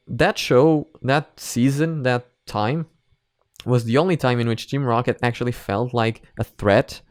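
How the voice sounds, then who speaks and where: A man with a medium-pitched, clean and resonant voice delivers casual commentary as a video host. He rambles emphatically, informal and a little sweary. The sound is close and dry, free of room echo. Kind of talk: casual opinion commentary on anime.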